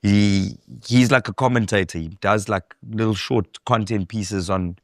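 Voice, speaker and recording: A man's voice: on the low side, fairly even intonation, unhurried, no echo, clean studio sound. A South African man hosting a casual interview, chatty with lots of fillers and some swearing. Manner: conversational, frequent fillers, swears. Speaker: host.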